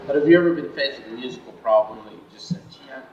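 A man's voice: mid-range voice, rapid-fire, highs cut off. Distant American male interviewer, echoey and hesitant. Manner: hesitant, rephrases questions as he goes. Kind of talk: conversational interview questions. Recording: distant, echoey, noisy archival interview tape.

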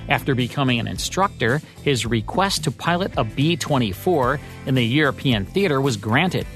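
A man's voice: medium pitch, lively intonation, normal speed, fairly bright tone, faint music behind. A man hosting a podcast, reading a scripted history segment in turns with his co-host.

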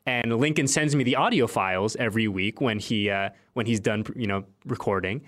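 A man's voice: lowish voice, a little fast, fairly bright tone. A man hosting a sports interview, bantering casually in a playful, teasing manner. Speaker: sports show host, interviewer.

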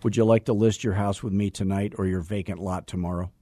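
A man's voice: sits low, fairly even intonation, fast talker, mellow, dry recording. A man hosting a sales coaching call-in show, blunt and wryly teasing his caller.

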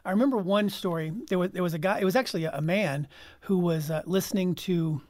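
A man telling anecdotes casually as an interview guest, halting as he feels his way through sentences. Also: high voice, darkish tone, dry recording, clean studio sound. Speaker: guest, audio drama writer.

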